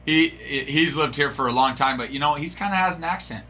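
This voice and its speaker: A middle-aged man hosts a live card-break stream, rambling casually and answering his viewers. His voice is bright and a touch high, with a faint hum in the background. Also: fairly quick. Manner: rambling, chatty, talking to chat viewers.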